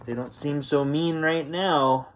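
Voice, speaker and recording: A man reading a children's picture book aloud as a storyteller, expressive and unhurried, with gentle asides to the listeners. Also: on the high side, slight background noise. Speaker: bedtime story reader and host.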